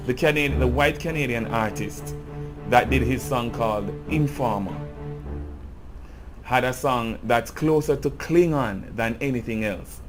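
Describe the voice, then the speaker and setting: A Jamaican man giving an earnest, impassioned cultural commentary in a video essay. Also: medium pitch. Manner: earnest, grows impassioned and emphatic.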